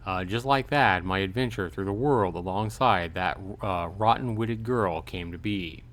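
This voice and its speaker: A man's voice: deep voice, unhurried, fairly bright tone. A man hosting a livestream, reading a visual novel aloud and commenting casually to his viewers. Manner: conversational, chatting with viewers. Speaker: livestream host. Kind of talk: casual game commentary and read-aloud narration.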